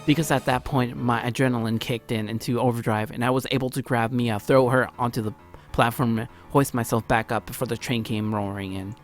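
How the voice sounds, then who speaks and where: Casting: male let's play narrator, medium pitch, dramatic amateur read with stumbles, background music. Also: fairly even intonation, brisk. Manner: theatrical, often stumbles over the text. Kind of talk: dramatic read-aloud narration of visual novel. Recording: music behind, low-quality home recording.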